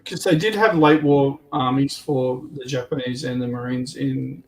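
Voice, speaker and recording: A man's voice: medium pitch, fairly even intonation, moderate pace, poor audio. An Australian man chatting casually on a hobby livestream panel, with hesitant, rambling phrasing. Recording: clear background noise on livestream.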